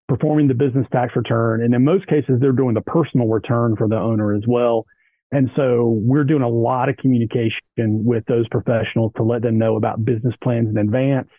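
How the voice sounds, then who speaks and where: A man's voice: medium pitch, no room sound. Man explaining over a tinny line. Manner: measured, explanatory, frequent "you know" and "kind of". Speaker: business advisor guest. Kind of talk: explanatory interview talk. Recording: dry tinny phone-like line.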